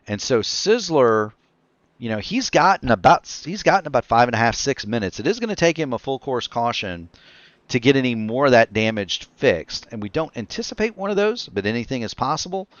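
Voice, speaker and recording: A man's voice: mid-range voice, no echo. A man calling a sim race on dry lo-fi audio. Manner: rapid excited play-by-play, self-correcting. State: voice getting tired. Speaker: play-by-play commentator. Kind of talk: sim racing commentary.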